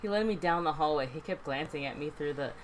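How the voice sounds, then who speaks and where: A woman's voice: deep voice, quick pace, slight background noise, rough recording. A woman reading visual novel narration aloud as a let's play host, with some casual banter.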